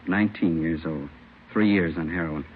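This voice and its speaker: An actor playing a businesslike police captain in an old radio drama, speaking plainly and briskly. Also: male voice, deep voice, close to an everyday voice. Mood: grim, urgent. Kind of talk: 1950s radio acting. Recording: very noisy, muffled old radio recording.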